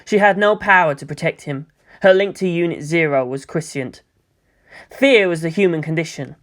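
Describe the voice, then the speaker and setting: A woman's voice: fairly low pitch, moderate pace. A woman narrating a scripted story as a fan fiction reader.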